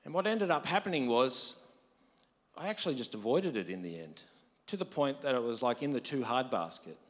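A married man preaching a conversational sermon, his voice deep from lack of sleep, rising to emphatic quotes. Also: animated, fairly quick. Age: adult, married. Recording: long hall reverb.

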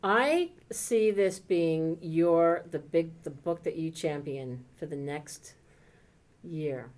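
A woman's voice: deep voice, slow. A woman co-hosting a library podcast, reacting enthusiastically to a book recommendation. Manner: enthusiastic, expressive. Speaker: podcast co-host. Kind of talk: casual book chat.

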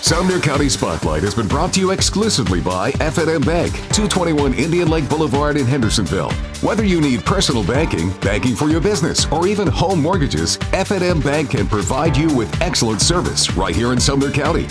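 A man's voice: fairly high pitch, very animated, a little fast, hardly any pauses. A man reading a scripted sponsor announcement in a polished, upbeat announcer manner. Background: music bed.

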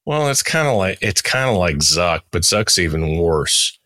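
Guy hosting a podcast, low voice, laid back and blunt, dry close sound. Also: big pitch swings, measured pace, pushed and bright, no echo. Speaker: podcast host, interviewer. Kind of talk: casual interview conversation.

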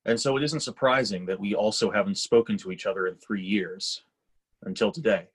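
An actor playing a detached, resentful grown son in a readers theatre drama. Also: male voice, fairly low pitch, fairly quick, slightly rough. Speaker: actor in a staged reading. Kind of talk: dramatic inner monologue and dialogue.

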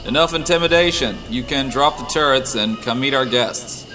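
A man's voice: mid-range voice, normal speed, natural voice. A man playing a wry, roguish smuggler pilot in an audio drama, casual and cocky. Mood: casual command. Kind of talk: audio drama character acting. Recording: music playing behind the voice.

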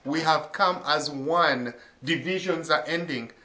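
A man's voice: a little high, unhurried, echoey room, lo-fi sound. A man from Uganda in his thirties, an associate pastor telling his story earnestly, with many ums and uhs. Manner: earnest, warm testimony with frequent fillers. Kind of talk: faith interview.

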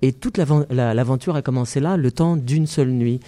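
Man from France, interview guest, measured and hesitant, mellow timbre. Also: mid-range voice. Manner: measured, hesitant with many fillers. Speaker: festival founder. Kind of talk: interview conversation.

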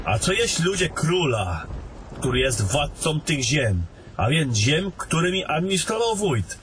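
A man's voice: mid-range voice, a bit bright, a little hiss or hum. A man playing a travelling adventurer in a fantasy audio drama. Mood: persuasive. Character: fantasy adventurers, gruff travelling men and a mage.

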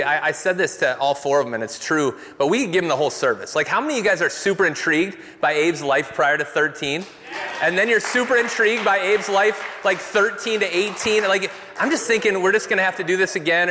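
A man's voice: sits high, fast talker, bright, forceful tone, slight background noise. A man hosting a church service from the stage, upbeat and enthusiastic as he introduces a guest. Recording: long reverb of a large hall.

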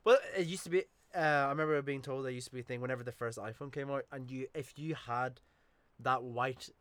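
A man's voice: medium pitch, steady tone, a little fast, a bit bright, no room sound. A young man from Iran in casual chat, enthusiastic and agreeable.

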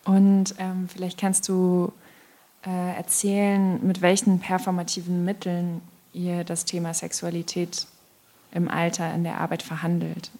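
A woman hosting a podcast, reading a scripted introduction and then asking calm questions. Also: lowish voice, measured pace. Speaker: podcast host.

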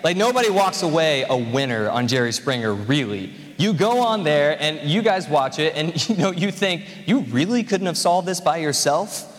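A man's voice: fairly high pitch, sing-song, normal speed. A man in his twenties preaching a conversational, joking sermon to a congregation. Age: 20s. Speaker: pastor. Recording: reverberant hall.

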